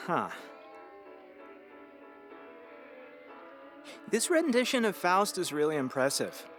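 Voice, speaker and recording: A man's voice: high voice, big pitch swings, moderate pace, a bit bright, soft background music. A man playing an earnest, easily exasperated small-town radio host with wordy, showy patter in an audio comedy. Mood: offended, chatty. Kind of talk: audio drama comedy acting.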